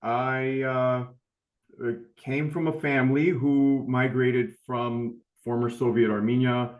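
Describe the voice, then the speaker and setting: A man's voice: medium pitch, little pitch movement, slow pace, reverberant. A middle-aged man speaking as a panelist on a webinar, humble and rambling, with many fillers. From United States. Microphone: video call.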